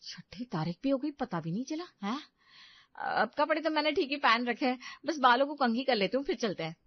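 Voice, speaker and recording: A woman voicing a practical, talkative young-wife character in a household story, with an animated, protesting manner. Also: sits high, fairly quick, no room sound, dull audio. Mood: casual. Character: practical, talkative elder daughter-in-law in a household.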